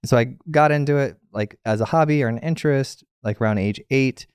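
A man's voice: mid-range voice, brisk, clean tone, no echo, studio quality. A man in his thirties telling stories casually as a guest in an interview. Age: 30s. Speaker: podcast guest, tech entrepreneur.

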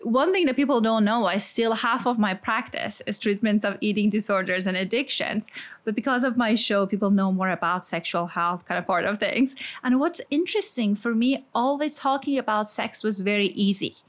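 A woman with a Persian accent, a psychologist and sex therapist, answering interview questions thoughtfully, often pausing with "kind of" and "like". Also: medium pitch. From Iran. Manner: thoughtful, many fillers like "kind of" and "like".

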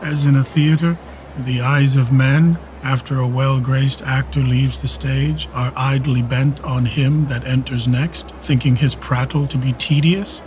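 An actor playing a stern, proud elderly English duke in a Shakespeare radio play, declaiming archaic verse with a quick temper. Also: male voice, medium pitch, steady tone, darkish tone, slight background noise, lightly acted, rough recording. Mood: grave, sorrowful. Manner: declamatory, measured verse delivery.

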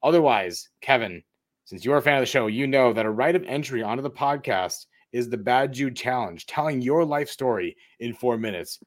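Male podcast host, medium pitch, friendly and informal interviewer. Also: lively intonation, normal speed. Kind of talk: casual interview and introductions.

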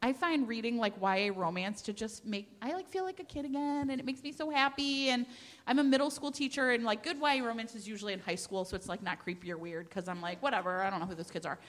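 A woman's voice: a little high, quick pace, a bit bright. A woman chatting casually to a group, self-deprecating and peppering her talk with "like" as she recommends books. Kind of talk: casual chat before a live group. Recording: echoey hall with long reverb.

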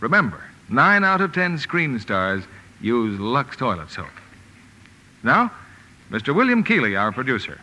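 A man's voice: mid-range voice, wildly swooping pitch, a little slow, pushed and bright. A man announcing scripted 1950s radio advertising with an emphatic sales delivery. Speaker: commercial announcer. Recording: vintage radio broadcast, hall reverb.

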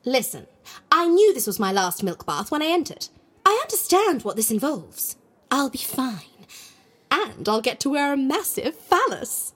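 A woman's voice: high-pitched, very animated, moderate pace, fairly bright tone, husky, full character voice. An actress playing a vain, playful and imperious scheming queen in a comic audio drama, with a theatrical, haughty, flirtatious manner. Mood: excited, playful.

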